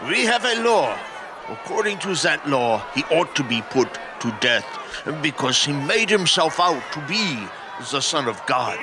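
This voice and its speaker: An actor playing a stern religious accuser in a biblical audio drama. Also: male voice, a little high, sing-song, unhurried. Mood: accusing. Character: stern male accuser demanding death under religious law. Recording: noisy crowd, outdoor ambience.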